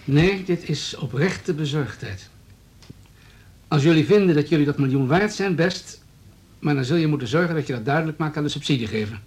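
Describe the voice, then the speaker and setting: A man's voice: fairly high pitch, lively intonation, moderate pace, darkish tone, lightly acted. Condescending male politician played by an actor. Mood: firm, condescending. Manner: smooth, businesslike, needling. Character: hard-nosed, condescending male town politician. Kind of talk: Dutch radio drama dialogue.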